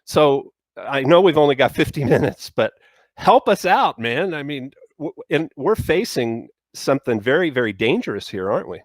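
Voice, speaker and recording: A man's voice: mid-range voice, expressive, moderate pace, dry recording. A man hosting a talk show, speaking in rambling, stop-start phrases with many fillers. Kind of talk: casual talk show conversation.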